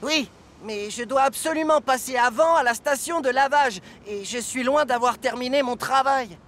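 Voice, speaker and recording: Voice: high voice, normal speed, fairly bright tone, slight background noise. An actor voicing a vain, eager male locomotive in a children's cartoon, boastful and dramatic. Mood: determined. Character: vain, eager red steam locomotive, male, excitable and proud. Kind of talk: animated children's cartoon dub. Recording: music behind the voice.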